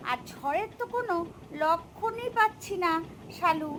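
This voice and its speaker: A woman voicing a hungry, gullible tiger in an animated children's story, bossy but easily scared, with drawn-out delivery. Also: very high-pitched, slight background noise, low-quality recording. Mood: puzzled.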